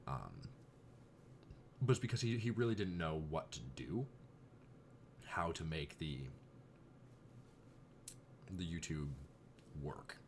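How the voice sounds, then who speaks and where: A young man vlogging in casual chat, rambling with exasperated asides, his voice going a bit hoarse. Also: a bass voice, animated, slow pace, long pauses, a little hiss or hum.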